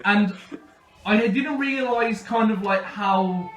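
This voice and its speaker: A man with a high voice tells a casual, jokey anecdote in a mock-grand way. He sits away from the mic in an echoey room, with clear background noise. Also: slow pace, fairly bright tone, recorded from a distance. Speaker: documentary host and pantomime performer. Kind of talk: casual anecdote telling in a group chat.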